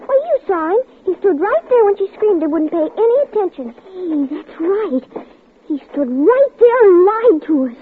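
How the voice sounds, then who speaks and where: A woman's voice: extremely high pitch, sing-song, normal speed. An actress playing a stubborn, anxious young girl in an old radio drama, stammering and pleading as she narrates. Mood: urgent. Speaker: child lead and narrator. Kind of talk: 1940s radio acting. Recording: tinny, hissy old radio broadcast.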